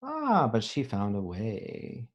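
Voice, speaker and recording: A man reading a children's picture book aloud as a teacher, pausing to think aloud and explain things simply. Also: on the low side, wildly swooping pitch, highs cut off. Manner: pauses to pose questions and think aloud.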